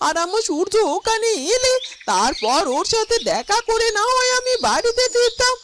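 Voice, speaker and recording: Woman as a high, animated, doting mother. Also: moderate pace. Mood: wistful complaint. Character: anxious, doting adoptive mother, hurt and pleading. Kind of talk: melodramatic cartoon dialogue. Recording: noisy track with chirping birds.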